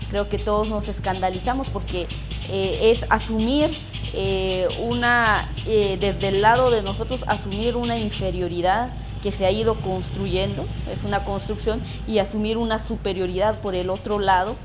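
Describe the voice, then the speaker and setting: A young woman from Guatemala giving a reflective interview answer, pausing often as she thinks. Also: medium pitch, fairly even intonation, music in the background. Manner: thoughtful, many pauses with "eh". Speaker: interviewee, activist.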